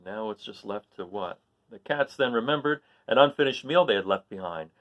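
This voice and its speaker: A man reading a picture book aloud to children, pausing to count along and quiz his young listeners. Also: on the low side, lively intonation, fairly bright tone, dull audio.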